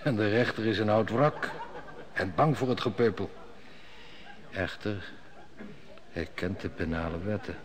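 A man's voice: fairly low pitch, measured pace, pauses often, close to an everyday voice. A man playing a sly, sardonic royal steward. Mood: scheming. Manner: sardonic, mocking. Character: sly cynical male royal steward, heavy drinker. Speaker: court steward. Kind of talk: 1960s radio drama acting.

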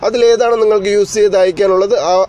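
A man's voice: high voice, steady tone, quick pace, mellow. A man narrating an explanatory tech tutorial as its host, in a formal, wordy way that addresses viewers directly.

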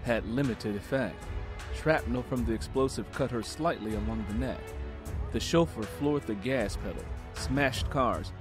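A man hosting a book interview podcast, reading a historical passage aloud in a measured, steady storytelling manner. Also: mid-range voice, music in the background.

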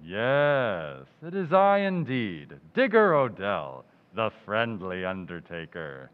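An actor playing a friendly but ghoulish male undertaker in a radio play, talking slowly and hollowly. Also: lowish voice, big pitch swings, clean tone. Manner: slow, hollow, punning. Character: gloomy male undertaker, slow and sepulchral. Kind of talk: old-time radio drama acting. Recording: dull reverberant stage recording.

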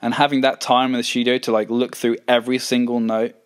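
A young man with an English accent hosting a podcast, relaxed and agreeable, with lots of backchannel replies. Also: mid-range voice, fast talker, clean tone. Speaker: podcast host. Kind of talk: casual chat.

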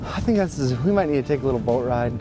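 A man's voice: mid-range voice, animated, fast, music in the background. A man in his thirties chatting casually while fishing from a small boat outdoors, laughing easily, on a lapel mic. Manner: easygoing, laughs often while reeling. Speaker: fishing guide and guest.

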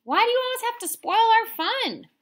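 Woman voicing bossy, high-pitched Circle, a storybook shape. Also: sing-song, moderate pace, a bit bright, full character voice. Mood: loud, exasperated. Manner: emphatic, exclaiming, earnest. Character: Circle, a bossy but caring female shape.

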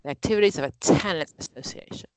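A woman's voice: sits low, fast talker, a little hiss or hum. A woman leading an online exam-prep study group, explaining answers with everyday examples in a conversational way over a video call.

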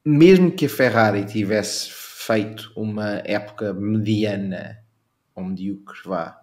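A man's voice: on the low side, slow pace, darkish tone. A man with a European Portuguese accent, co-hosting a casual podcast chat, hesitant and repeating words as he argues his opinions. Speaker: podcast co-host. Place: remote recording.

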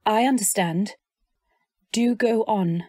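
A woman's voice: medium pitch, slow pace, a little mellow, dry recording, clean studio sound. A woman playing an anxious, devoted wife who narrates her story in dramatic old-time radio style. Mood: polite, guarded. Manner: dramatic, earnest delivery. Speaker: narrator and actor.

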